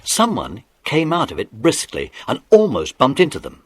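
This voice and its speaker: A man's voice: medium pitch, wildly swooping pitch, normal speed, no echo. A man narrating a children's detective adventure as the storyteller of a scripted audiobook drama. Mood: suspenseful storytelling.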